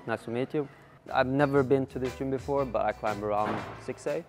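A man's voice: medium pitch, fairly quick, music in the background, natural voice. A man putting on a Finnish accent, playing a chatty, curious beginner climber in his thirties inside a large climbing gym. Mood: friendly, curious. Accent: put-on Finnish. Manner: chatty, asks lots of questions.

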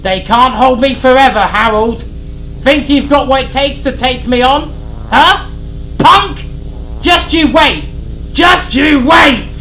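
A woman's voice: fairly high pitch, lively intonation, a little slow, fairly bright tone, a little hiss or hum, rough recording. A woman voicing a furious, vengeful male engine who screams threats. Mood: enraged.

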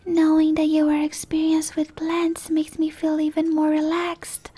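A woman playing a shy, gentle dryad monster in an ASMR roleplay, in a soft breathy whisper with hesitant, stammering, apologetic delivery. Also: high voice, monotone, a little slow.

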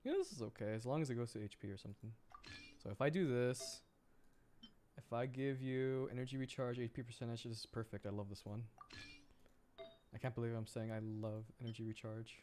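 A man doing casual livestream gameplay commentary, excitable and thinking aloud as he plays. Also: medium pitch, brisk, slight background noise. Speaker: streamer.

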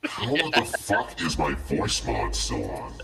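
A man narrating a tabletop game as dungeon master in a halting way, his voice run through a deep voice-changer filter. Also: wildly swooping pitch, creaky, over a noisy crowd. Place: small room at home, indoors. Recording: echoing, distant voice-changer sound.

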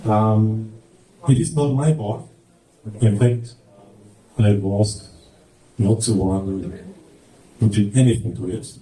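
A middle-aged man with a German accent, presenting slides at a conference in halting English with many fillers. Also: fairly low pitch, steady tone, dark tone. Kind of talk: presenting slides at a tech talk. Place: large conference hall with projector. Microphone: handheld mic. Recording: echoey hall, mic at distance.

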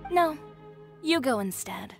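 A woman's voice: high-pitched, very animated, takes it slowly, creaky, loud music behind the voice, exaggerated voice. A woman playing a proud, composed young fashion designer in a video game, with dry, sardonic delivery. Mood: firm. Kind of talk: English video game voice acting.

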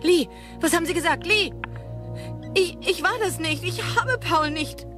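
High-voiced, distressed fugitive. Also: female voice. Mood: indignant, desperate. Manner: agitated, halting, dramatic. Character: distressed, defiant woman on the run. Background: music under the voice.

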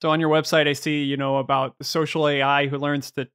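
A man's voice: fairly high pitch, level tone, brisk, fairly bright tone, smooth, no room sound, studio quality. A man co-hosting a podcast, introducing a guest and asking questions in an upbeat, friendly way with some fillers. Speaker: podcast co-host. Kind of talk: podcast interviewing.

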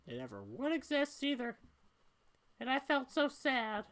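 A woman's voice: high-pitched, huge pitch swings, a little slow, a bit bright, heavily acted. A woman voicing a weary, troubled young female cat in a story video game, talking in a hesitant, conversational way. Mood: troubled, confessional. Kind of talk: amateur voiced playthrough of a story game.

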